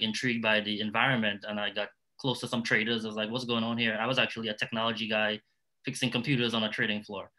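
Bright-voiced Trinidadian man, low and even. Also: rough recording. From Trinidad and Tobago. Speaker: nonprofit founder, panelist. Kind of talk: panel discussion talk, personal stories.

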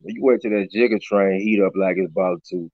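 A middle-aged rapper from Baton Rouge, talking loosely in an interview over a video call. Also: male voice, deep voice, steady tone, brisk, dry recording. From Baton Rouge, Louisiana. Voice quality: raspy. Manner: loose, repeats "you know what I'm saying". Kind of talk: casual interview chat. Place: small room indoors. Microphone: webcam on a video call. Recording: dull lo-fi video call audio.

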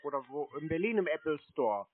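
A man's voice: a little high, sing-song, brisk, a loud crowd. A man on a phone call who acts obtuse and confused, asking question after question. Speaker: scam-baiter playing a called customer. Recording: narrowband phone line.

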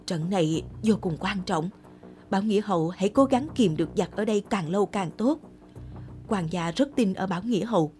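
A woman voicing a grave, determined male army commander with measured authority in a historical cartoon. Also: medium pitch, lively intonation, mellow, faint music behind, natural voice. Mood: grave resolve. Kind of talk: cartoon history drama acting.

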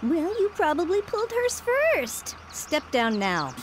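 Harsh, scolding mother, high and volatile. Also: huge pitch swings, a little slow, noisy background, exaggerated voice. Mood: scolding, impatient. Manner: harsh, belittling, snapping. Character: cruel, scolding, impatient mother of a young girl. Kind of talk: radio drama acting.

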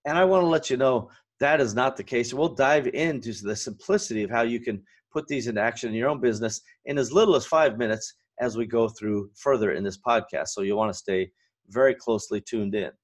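Man, forties, hoarse raspy voice, solo podcast host, energetic ranting delivery, home office. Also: mid-range voice, brisk. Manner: energetic, ranting, fillers and restarts.

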